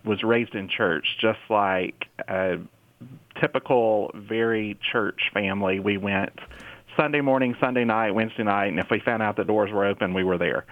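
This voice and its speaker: A man in his forties with a Mississippi Southern accent, a children's pastor telling his story as a guest in a casual interview, halting with fillers and restarts. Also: lowish voice, no room sound. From Mississippi, USA. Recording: tinny phone-like line.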